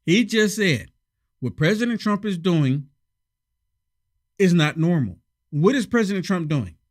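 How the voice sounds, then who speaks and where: A man hosting casual political commentary, sarcastic and emphatic, a little hoarse from a head cold. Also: high voice, sing-song, unhurried.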